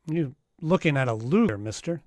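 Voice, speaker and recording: An actor playing a tough, wry private detective in a 1940s-style radio drama, narrating and trading lines. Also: male voice, on the high side, animated, normal speed, no room sound, close to an everyday voice. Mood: cool, needling.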